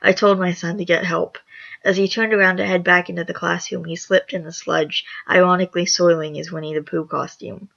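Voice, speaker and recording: Brief: hoarse, gravelly vlogger reading reviews aloud, mid pitch, some stumbles, dull lo-fi mic. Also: little pitch movement, fairly quick, fairly bright tone. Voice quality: raspy. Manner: reads with small stumbles and self-corrections. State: hoarse after just waking up. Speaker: channel host.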